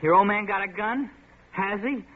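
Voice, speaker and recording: A man's voice: high voice, normal speed. An actor playing a defensive young man in a 1940s radio drama, snapping back at his friend's taunts. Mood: defiant. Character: touchy young man, Pin, stung by taunts. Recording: tinny lo-fi old radio broadcast with faint hiss.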